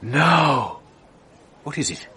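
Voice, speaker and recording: A man acting in a radio drama as a fussy, excitable well-to-do gentleman amateur sleuth, earnest and easily indignant. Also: fairly high pitch, very slow, slightly rough, heavily acted. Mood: tense.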